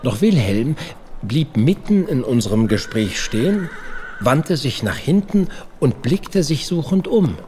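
A man playing an earnest period investigator who narrates his own case in a measured, literary audio drama style. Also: medium pitch, very animated, dark tone, slight background noise. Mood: suspenseful narration.

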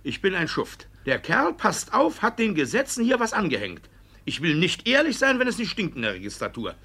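A shifty village judge from a classic radio comedy, blusters with indignation. His pitched-up voice is forceful and husky, forever evasive. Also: huge pitch swings, moderate pace, exaggerated voice. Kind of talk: classic German stage comedy as radio play.

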